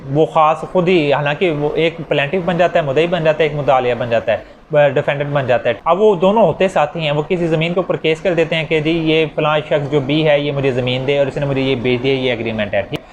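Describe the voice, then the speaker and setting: Higher-voiced Pakistani man lecturing, background noise. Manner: informal step-by-step explaining, repeats key phrases; mixes in English legal terms. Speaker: law lecturer. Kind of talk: explanatory lecture with worked examples.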